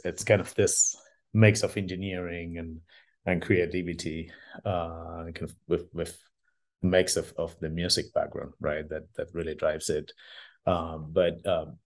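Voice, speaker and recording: A man from Argentina answering casually as a podcast guest, halting and thinking aloud as he goes. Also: low voice. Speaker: podcast guest, engineer and artist.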